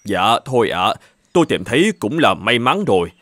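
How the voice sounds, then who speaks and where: Man acting a kind merchant, widely swinging pitch. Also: sing-song, normal speed, a bit bright, no echo, studio quality. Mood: gentle, grateful. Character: kind middle-aged travelling merchant, honest and soft-hearted. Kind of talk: animated folk tale dubbing.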